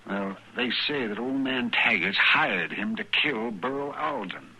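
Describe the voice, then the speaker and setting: An actor playing a crotchety, gossipy old American frontier doctor who grumbles and needles theatrically in a radio western. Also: male voice, lowish voice, lively intonation, unhurried, bright, forceful tone, breathy edge, full character voice, rough recording. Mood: smug, urgent. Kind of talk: 1950s radio western acting. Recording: old 1950s radio recording.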